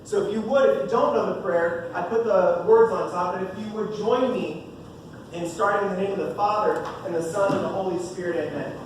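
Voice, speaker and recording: A youth minister in his thirties with a booming voice gives a joking, upbeat talk to a room of parents. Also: fairly quick. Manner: joking, upbeat, talks straight to the audience. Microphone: no mic, heard from far off. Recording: echoey room from far off, noisy.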